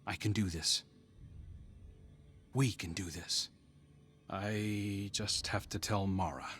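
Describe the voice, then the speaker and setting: A man's voice: on the low side, fairly even intonation, slow pace, fairly bright tone, slight background noise. A man playing an earnest, self-sacrificing young hero in a video game, sincere and persuasive, hesitating at moments. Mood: pleading, resolute. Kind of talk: naturalistic game character acting.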